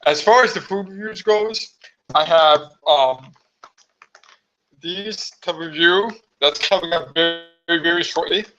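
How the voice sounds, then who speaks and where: Hoarse man rambling on a live stream. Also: a little high, a little slow, bright, forceful tone, soft background music. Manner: rambling, hesitant, many fillers. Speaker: vlogger hosting a live stream. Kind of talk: casual live-stream chat. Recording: slightly dull lo-fi live stream audio.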